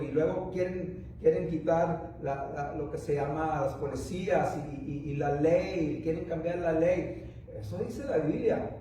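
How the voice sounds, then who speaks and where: A man's voice: on the high side, warm tone, heavy reverb, far from the mic, slight background noise, rough recording. A man preaching a sermon, a native English speaker who halts and restarts often as he speaks. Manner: rambling, halting with many restarts and fillers. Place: church.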